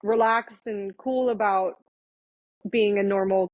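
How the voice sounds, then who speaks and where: A woman in her thirties talks through her story as a podcast guest, thoughtful and unhurried, trailing into fillers and restarts. Her voice sits at a middling pitch. The sound is dry, with no room around it, and a little muffled. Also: fairly even intonation, no room sound. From born in Thailand, raised in Florida and India. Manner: reflective, with frequent fillers and restarts. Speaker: guest, attorney. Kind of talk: conversational podcast interview.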